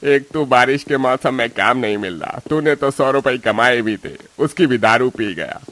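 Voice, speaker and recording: A man's voice: medium pitch, normal speed, a bit bright, no room sound, natural voice. A man voicing a poor, honest labourer in a cartoon story, plaintive and earnest. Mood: reproachful. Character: poor, honest, pleading male painter labourer.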